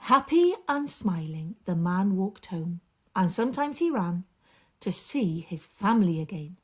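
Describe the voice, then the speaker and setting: A woman reading and explaining a story for children, with gentle dramatic phrasing that often drops into a hush. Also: mid-range voice, big pitch swings. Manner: dramatic, deliberate phrasing, often breathy and hushed.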